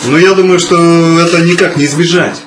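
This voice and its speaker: A man's voice: fairly high pitch, fairly even intonation, fairly quick. A man in his early thirties talking casually in a group discussion, with an ironic, self-deprecating manner. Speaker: musician guest answering questions. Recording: echoey room with clear background noise.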